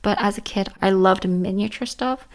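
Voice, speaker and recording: Creaky, hoarse woman chatting casually. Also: medium pitch, normal speed. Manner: earnest, emphatic, repeats words. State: hoarse, tired voice. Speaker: book reviewer, channel host. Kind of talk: casual list-style book and manga chat.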